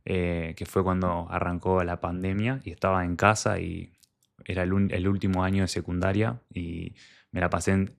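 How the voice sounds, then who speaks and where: A man's voice: low-pitched, gravelly. A young man from Argentina with an Argentine Spanish accent, telling his personal story in a candid, conversational way and quoting his own past thoughts. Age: young adult.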